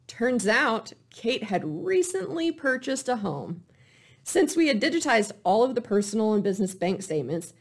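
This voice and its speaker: A woman telling a prepared case study in a measured, deliberate way, like a presenter walking an audience through an investigation. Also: mid-range voice.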